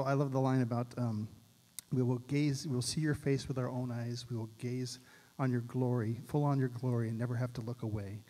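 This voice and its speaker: A man introducing a song to a church congregation in a quick, chatty way with many ums. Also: mid-range voice, in a large hall. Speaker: worship leader. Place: church stage, large indoor sanctuary. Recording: band music loud, voice distant.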